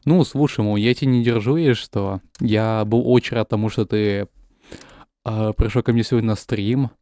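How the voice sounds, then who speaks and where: A man's voice: lowish voice, fairly even intonation, moderate pace, dark tone, dry recording, studio quality. A man whose first language is Russian, chatting casually while streaming a game, often stumbling and repeating words. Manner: switches between English and Russian. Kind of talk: casual gaming stream chat.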